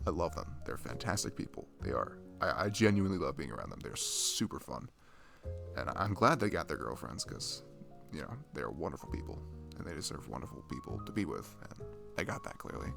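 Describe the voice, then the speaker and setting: A man's voice: rumbling low, very animated, gravelly, music in the background, exaggerated voice. A man playing a dorky, shy, lonely young best friend in an intimate ASMR roleplay, rambling hesitantly and putting himself down. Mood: self-mocking. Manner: hesitant, self-deprecating, halting with fillers and sighs.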